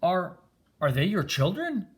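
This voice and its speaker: An older man voicing a kind, earnest young prince in a children's storybook, speaking gently. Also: high-pitched, big pitch swings, measured pace, slightly rough, voice pushed up, heavily acted. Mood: gentle concern.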